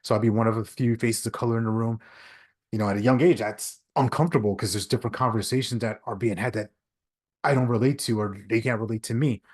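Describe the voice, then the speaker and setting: A man's voice: on the low side, steady tone, fast talker. A man in his thirties from Long Island, a podcast guest telling a reflective personal story with frequent restarts. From Long Island, New York. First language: English. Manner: earnest, reflective, frequent restarts and "right?" tags. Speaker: podcast guest, leadership speaker. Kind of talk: conversational storytelling.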